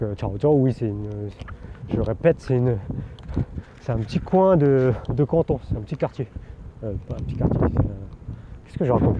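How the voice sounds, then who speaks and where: A man born in France, talking candidly while riding a bike outdoors, rambling with many fillers and restarts. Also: medium pitch. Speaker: vlogger. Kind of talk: casual spoken monologue. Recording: dull outdoor recording with background noise.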